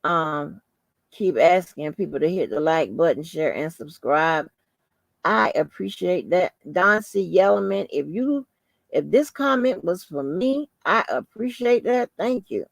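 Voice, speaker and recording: A woman hosting a casual livestream, rambling informally to her viewers in a soft, calming voice. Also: lowish voice, measured pace, gravelly, dry recording. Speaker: livestream host.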